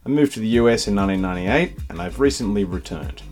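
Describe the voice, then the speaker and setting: A man's voice: on the low side, expressive, fast talker, darkish tone, faint music behind. A man from Sydney with an Australian accent, reading a scripted introduction to his own audiobook as its author.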